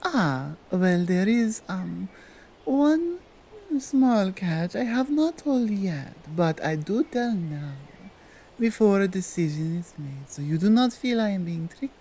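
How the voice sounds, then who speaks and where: A woman voicing a dominant yet tender female Krampus in an ASMR roleplay, with a Nordic accent and halting, deliberate broken English. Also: mid-range voice, sing-song, dark tone, breathy edge, exaggerated voice. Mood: hesitant, explaining. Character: dominant, tender, seductive female Krampus, otherworldly gift-bringer.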